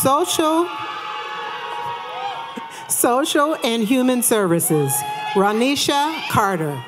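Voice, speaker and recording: Slow, high-pitched woman announcing graduates. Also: lively intonation, over loud music, low-quality recording. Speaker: commencement announcer. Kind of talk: formal ceremonial reading of graduates' names. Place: large auditorium stage at a graduation. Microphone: podium microphone. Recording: crowd noise of a large ceremony. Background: crowd and ambience.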